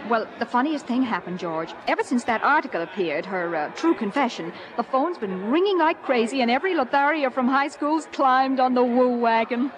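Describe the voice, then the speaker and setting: Woman playing placating wife and mom, earnest, gently firm, lightly high voice, vintage radio comedy. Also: animated, normal speed, few pauses, in a large hall, crowd noise, close to an everyday voice. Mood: pleased, animated. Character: patient, soothing wife and mother, gently firm. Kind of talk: 1940s-50s radio comedy acting.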